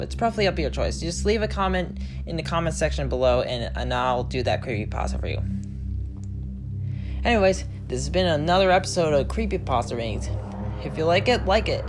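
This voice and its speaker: A woman hosting a horror story series, narrating and commenting in an informal, somewhat halting way. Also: sits low. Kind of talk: creepypasta narration and casual commentary.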